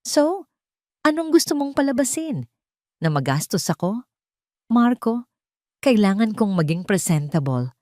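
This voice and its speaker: Woman doing a demanding, huffy young wife for an audio drama, pitched up, insistent. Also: very animated, measured pace, pauses often, a little mellow, dry recording, studio quality. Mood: insistent, defensive. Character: vain, demanding young pregnant wife, easily offended.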